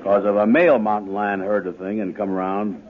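An old, tinny radio recording thick with noise carries a man acting as a very low-voiced, dim comic sidekick. He drawls ungrammatically, trusting but uneasy. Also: fairly even intonation, fairly quick, lightly acted. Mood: doubtful. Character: slow-witted, trusting, uneasy male sidekick in a radio comedy. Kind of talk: 1950s radio comedy acting. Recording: muffled, very noisy old radio broadcast.

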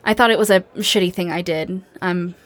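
A woman's voice: lowish voice, close to an everyday voice. Actress as a hesitant, guarded young woman survivor. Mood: subdued, hesitant. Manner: hesitant, halting. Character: guarded, grieving young woman survivor, earnest and self-deprecating. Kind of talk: naturalistic audio drama acting.

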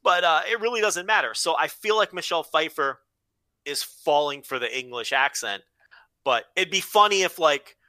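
Man, podcast co-host, very bright forceful voice, animated pitch, rambling, profane, joking. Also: normal speed, no echo. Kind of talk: casual comedic movie chat.

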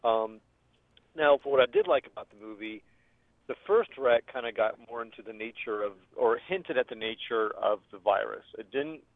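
A man's voice: fairly low pitch, normal speed, no echo. Man, podcast caller, muffled phone audio, dry sound, casual reviewing. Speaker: caller giving a film review. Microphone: telephone. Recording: dull, dry, phone-line voicemail.

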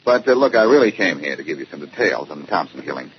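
A man's voice: extremely low pitch, big pitch swings, quick pace. A man playing a cool, cocky amateur detective with dry, needling quips in a 1940s radio drama. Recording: dull, noisy old-time radio recording.

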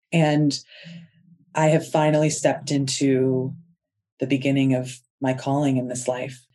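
A woman hosting her own podcast, talking in an earnest, heartfelt way and stretching out the words she stresses. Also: sits low. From United States. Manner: earnest, soft-spoken and heartfelt, drawn-out emphasis. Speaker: podcast host. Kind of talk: inspirational podcast monologue.

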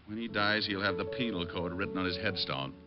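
An American man playing a suave, quick-witted, flippant private detective in 1950s radio drama style. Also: deep voice, fairly even intonation, lightly acted. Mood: flippant, affectionate. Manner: breezy, flippant. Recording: old muffled radio recording with loud music.

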